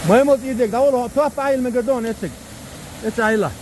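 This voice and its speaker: A man from Chechnya chatting casually with companions while hiking, tossing out loud offhand remarks and short questions outdoors in a mountain gorge. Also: very high voice, steady tone, racing, slight background noise. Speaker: hiker filming a trip. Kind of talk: casual chat among companions on a hike.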